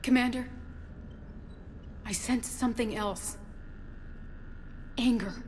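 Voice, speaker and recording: An actress playing a determined, grieving young scientist in a video game, speaking with earnest intensity. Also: female voice, fairly high pitch, fairly even intonation, slow, a little mellow. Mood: shaken. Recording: noisy ambience behind dialogue.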